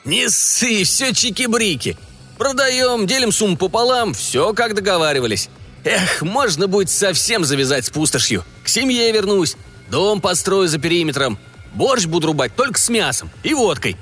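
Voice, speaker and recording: A man's voice: high-pitched, normal speed, pitched above the performer's own voice, exaggerated voice. A man voicing a boisterous, greedy male stalker who talks in coarse slang, over faint music. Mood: boastful. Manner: loud, excited, coarse.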